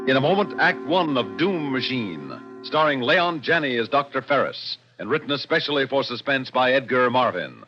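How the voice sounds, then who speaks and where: A man narrating a 1960s radio drama as announcer, with measured, formal, suspenseful delivery. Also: mid-range voice, animated. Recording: lo-fi old radio broadcast, music behind.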